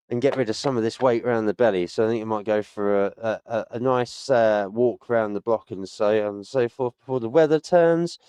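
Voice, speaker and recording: A man's voice: medium pitch, darkish tone, smooth, dry recording. Rambling British man in his late thirties. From United Kingdom. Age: 30s. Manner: rambling and chatty, with many fillers and stock phrases. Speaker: streamer. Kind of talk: casual sign-off chat to viewers.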